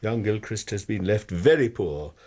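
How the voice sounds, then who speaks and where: A man's voice: lowish voice, animated, normal speed, mellow. A man playing an anxious, courteous university tutor in a radio drama, speaking hesitantly and precisely with an English accent. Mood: earnest.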